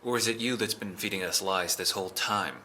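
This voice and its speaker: A man playing a suspicious police interrogator in an audio drama, measured and pointed as he challenges the listener. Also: sits low, sharp tone. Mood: accusing.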